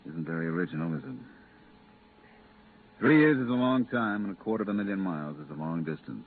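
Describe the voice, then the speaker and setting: Low-voiced, embittered spaceman. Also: expressive, fairly quick. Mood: bitter. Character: embittered veteran spaceman, grim, resigned, stubborn. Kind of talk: 1950s radio drama acting. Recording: muffled, noisy vintage radio recording.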